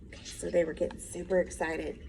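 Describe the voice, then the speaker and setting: A woman teacher reading a storybook aloud with simple, emphatic explanations and an expressive delivery. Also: lowish voice, a little fast, vocal fry, slight background noise, poor audio.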